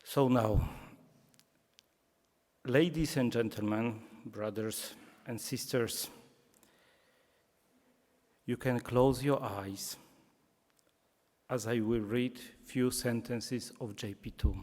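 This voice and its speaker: A man with a Polish accent giving a public lecture, speaking deliberately and slipping in dry jokes. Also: medium pitch, fairly even intonation, a little mellow. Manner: slow, deliberate, joking asides. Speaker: guest lecturer. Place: lecture hall. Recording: reverberant lecture hall.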